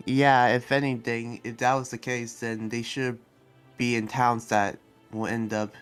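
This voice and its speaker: A man acts out a kindhearted young demon slayer in an anime game roleplay. He is earnest and idealistic, with a middling pitch and hesitant, restarting delivery. Faint music plays behind him. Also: little pitch movement, a little slow, smooth, natural voice. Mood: pensive. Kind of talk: improvised roleplay dialogue.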